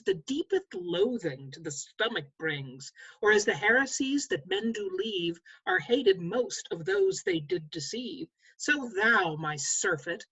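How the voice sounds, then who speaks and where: A woman's voice: medium pitch, a bit bright, slightly rough, exaggerated voice, low-quality recording. A woman playing an ardent, fickle young nobleman in a verse play read over a video call, impassioned and declamatory. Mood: scornful, resolute. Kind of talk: stage verse read aloud at a table read.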